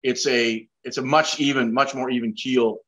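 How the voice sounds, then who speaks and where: A man's voice: medium pitch, steady tone, moderate pace, a bit bright, a little hiss or hum. Older man hosting a chatty video call. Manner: folksy, rambling questions with self-deprecating jokes. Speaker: host. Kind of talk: casual interview chat.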